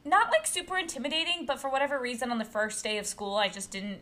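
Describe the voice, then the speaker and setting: Bright-voiced chatty woman in her late twenties. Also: sits high, quick pace. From Brazil. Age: late 20s. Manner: chatty, outspoken, laughs a lot. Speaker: podcast co-host. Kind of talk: casual chat.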